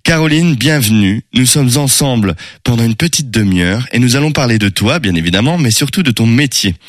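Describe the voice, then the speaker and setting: A man's voice: medium pitch, lively intonation, no echo, clean studio sound. Man hosting a radio show. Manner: measured, friendly announcer delivery. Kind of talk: scripted radio presenting and interviewing. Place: radio studio.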